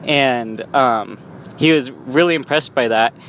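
A man's voice: medium pitch, slow, fairly bright tone, low-quality recording. Young man vlogging while driving. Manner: rambling with frequent restarts and small laughs. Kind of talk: casual vlog monologue. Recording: faint road noise.

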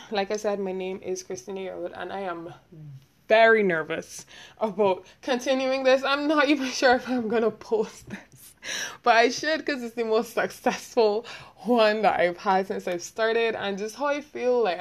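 A young woman with a Barbadian accent talking quickly and rambling, hosting a casual solo podcast and laughing often. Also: a little high. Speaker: podcast host.

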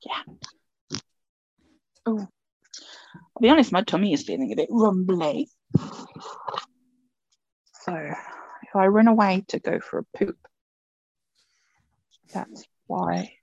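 Woman podcast co-host, gravelly, dry close sound, rambling and chatty. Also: mid-range voice, lively intonation, takes it slowly, pauses often, a little mellow, no echo, muffled recording. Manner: rambling, chatty, laughs often. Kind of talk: casual chat.

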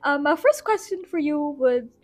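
A woman's voice: sits high, animated, moderate pace, darkish tone, dry recording, faint music behind. Chatty young woman podcast host. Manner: chatty, rambling, self-deprecating. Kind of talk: casual podcast chat.

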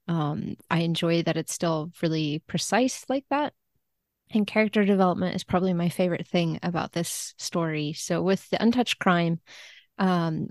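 A woman's voice: lowish voice, no echo, clean studio sound. A woman speaking as a podcast guest in a casual interview, enthusiastic and informal about her work as a literary translator.

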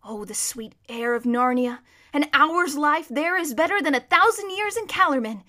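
Woman voicing a proud, emphatic, high-voiced talking war horse. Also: expressive, moderate pace, pushed and bright. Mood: grand longing. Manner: emphatic, superior, lecturing. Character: proud, bossy male talking war horse, blunt but kindly. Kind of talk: children's storybook character reading.

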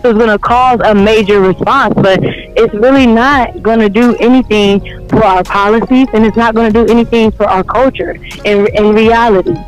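A man's voice: high-pitched, steady tone, normal speed, soft background music, rough recording. A man in casual conversation, talking emphatically, with frequent laughter and rhetorical questions. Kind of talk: casual chat.

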